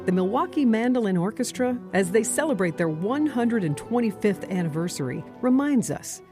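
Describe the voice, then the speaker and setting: Woman, scripted news narration, very lively pitch, polished, music playing under. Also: moderate pace. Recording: music clearly behind the voice.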